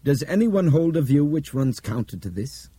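A man performs a commanding Englishman in an audiobook thriller, urbane but grim and forceful. The carries clipped authority, pitched well above the narrator's own register, in a very dry recording. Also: sing-song, moderate pace, slightly rough, no echo. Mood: firm, controlled. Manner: commanding, clipped urgency with polite turns of phrase.

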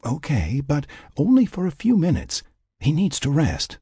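Guy narrator doing a lady medic, calm n clinical, raised pitch, husky, audiobook. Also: moderate pace, no room sound, studio quality, exaggerated voice. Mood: cautious. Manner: explanatory, clinical. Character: calm, careful woman medic giving patient updates. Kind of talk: audiobook character dialogue.

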